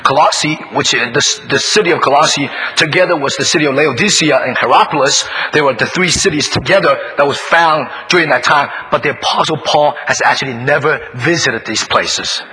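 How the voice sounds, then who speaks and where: Hoarse male pastor preaching. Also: on the high side, bright, forceful tone, low-quality recording. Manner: speaks in short phrases, pausing for translation. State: hoarse, losing his voice. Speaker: guest pastor. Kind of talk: sermon delivered through an interpreter.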